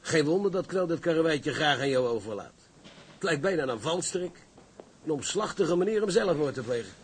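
An actor playing a wry, pessimistic, seasoned ship's officer in a radio drama, with a dry, sardonic delivery. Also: male voice, a little high, expressive, normal speed, lightly acted. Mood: sceptical. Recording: clear ambient noise behind.

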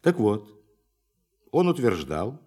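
An actor playing a forceful science official who addresses a meeting formally and emphatically in a radio play. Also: male voice, lowish voice, big pitch swings, slow pace, full character voice. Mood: grave, formal.

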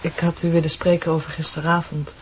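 An actress playing a fragile, anxious young niece in a radio drama, careful and breathy in her speech. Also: low-pitched, little pitch movement, a little fast, a little hiss or hum, lightly acted. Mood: polite, uneasy.

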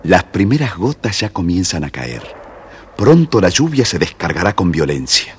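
A man's voice: deep voice, big pitch swings, normal speed, slight background noise. A man narrating a scripted children's story as storyteller.

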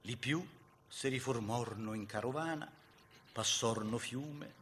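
An actor playing a grieving Garibaldian veteran, reciting dramatic verse with a Roman accent in an impassioned way that swings between hushed and loud. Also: male voice, medium pitch, slow, long reverb tail, a little hiss or hum. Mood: measured recounting. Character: grieving Garibaldian veteran recounting a bloody battle. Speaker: actor reciting a dialect poem.

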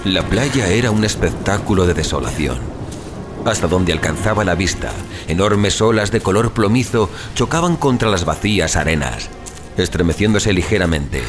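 A man's voice: sits low, animated, normal speed. A man narrating a scripted suspense horror story as an audio fiction narrator. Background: music.

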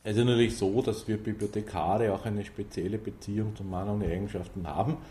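Sehr dunkle österreichische Männerstimme. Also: male voice, lowish voice, steady tone, brisk, mellow, slight background noise. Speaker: librarian, interviewee. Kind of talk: formal interview talk.

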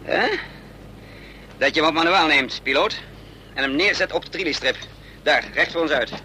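An actor playing a bold, quick-witted fugitive in a Dutch radio play, urgent and commanding. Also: male voice, fairly high pitch, lightly acted. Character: bold hunted fugitive without memory, quick-witted man of action. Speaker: lead actor and first-person narrator. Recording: noisy radio play recording with vehicle sounds.

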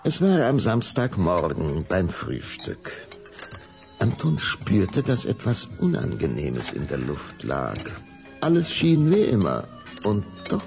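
Deep, gravelly, slow-paced male storyteller. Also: huge pitch swings, over music. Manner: dramatic storytelling with suspenseful pauses. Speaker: narrator. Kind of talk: scripted audio drama narration for children.

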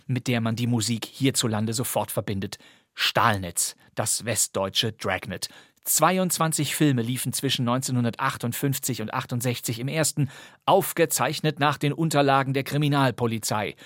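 A man's voice: medium pitch, a bit bright, no room sound, clean studio sound. A man with a German accent hosting a podcast, reading scripted narration in an articulate, presenterly way. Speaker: podcast host. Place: dry studio.

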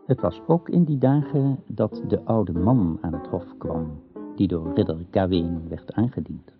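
A man narrates a radio drama in a very deep voice. Guitar music plays clearly behind him, and the recording is thin and band-limited. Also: unhurried, phone-quality sound. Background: plucked guitar music.

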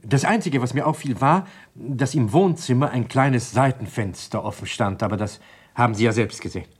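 A man playing a troubled doctor and evasive suspect in a German crime radio drama, speaking in a hesitant, guarded way with a husky voice. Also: mid-range voice, moderate pace, natural voice. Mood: subdued, recounting.

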